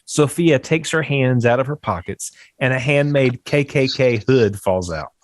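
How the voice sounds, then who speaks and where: A man's voice: medium pitch, measured pace, dry recording. Man reading screenplay directions, very dry sound. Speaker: stage directions reader. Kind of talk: scripted narration at a table read.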